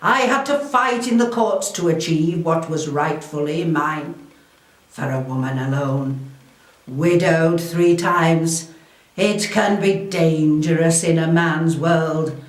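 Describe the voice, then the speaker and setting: A woman playing a proud, shrewd, wealthy Elizabethan noblewoman, delivering a formal, theatrical first-person historical monologue in a deliberate way. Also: sits low. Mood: proud, assured. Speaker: volunteer historical re-enactor. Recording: echoey room, distant microphone.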